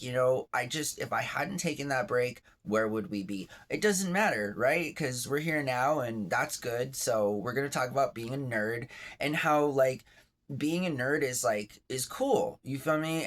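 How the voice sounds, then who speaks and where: A man in his thirties rambles through a laid-back home vlog, chatty and self-mocking, drifting into asides as he goes. His voice sits at an ordinary middle pitch for a man, and he sounds like he is talking from bed just after waking up. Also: a bit bright. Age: 30s. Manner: chatty and self-deprecating, many fillers and asides. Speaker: vlogger, channel host. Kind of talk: rambling casual vlog monologue.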